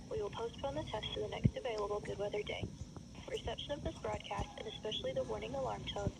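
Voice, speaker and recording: Creaky woman announcer on a noisy radio. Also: fairly high pitch, muffled recording. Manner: formal, measured reading. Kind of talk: scripted public warning test announcement. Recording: distant, very noisy weather radio broadcast.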